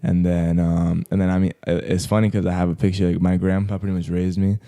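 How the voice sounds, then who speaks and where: A man's voice: low voice, little pitch movement, fast talker, mellow. A young man from Colombia who grew up in South Florida, talking casually as a guest photographer with lots of "like" fillers and slang. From Colombia, raised in South Florida. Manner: laid-back, heavy use of "like" fillers, slangy. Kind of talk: casual podcast interview. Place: podcast studio.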